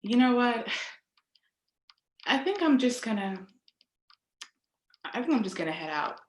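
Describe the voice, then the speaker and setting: A woman plays an exasperated young woman on a video date. Her voice sits a little high and she talks in halting, conversational bursts. The room rings with echo around her. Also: big pitch swings. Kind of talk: acted dramatic monologue.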